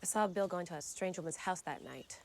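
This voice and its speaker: A woman's voice: fairly low pitch, a little fast, a little hiss or hum, natural voice. A woman playing a candid but guarded 1920s woman, with wry, understated delivery in a TV period drama. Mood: hurt, guarded. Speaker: actress in a TV detective series. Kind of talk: naturalistic TV period drama acting. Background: background music.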